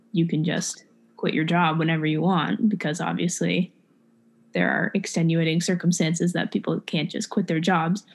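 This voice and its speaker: A woman's voice: fairly low pitch, a little mellow. A woman in her twenties making an earnest point in a casual discussion, asking rhetorical questions.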